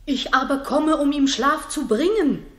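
Fearless, high-voiced noble lady, measured pace. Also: fairly bright tone. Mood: urgent, determined. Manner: bold, declamatory. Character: fearless, outspoken, indignant noble lady-in-waiting. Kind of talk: 1960s German radio drama acting. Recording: roomy 1960s radio studio recording.

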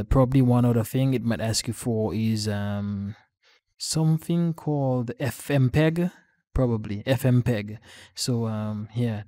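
A man hosting a casual software tutorial for a gaming channel, explaining step by step in an informal, halting, rambling way. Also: mid-range voice.

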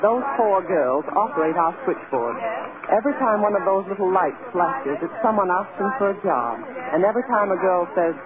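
An actor playing a matter-of-fact, discouraging casting office official in a vintage radio drama. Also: male voice, high voice, lively intonation, normal speed. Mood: businesslike, discouraging. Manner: businesslike, explanatory. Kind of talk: 1930s-40s American radio acting. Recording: tinny old radio, crowd chatter behind. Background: busy crowd noise.

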